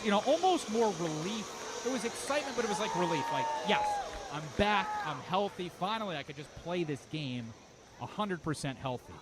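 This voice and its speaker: A man doing live radio play-by-play of a baseball game as the announcer, talking conversationally between pitches and lifting his voice for action. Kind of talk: live radio sports commentary. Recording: live ballpark broadcast with loud background noise. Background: outdoor ballpark ambience.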